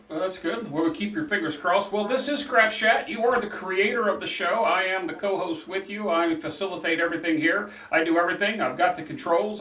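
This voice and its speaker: Co-host, male, talkative, slightly high voice, roomy and a bit distant from the mic. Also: pushed and bright. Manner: chatty, runs the chat on air. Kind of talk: live call-in show chat.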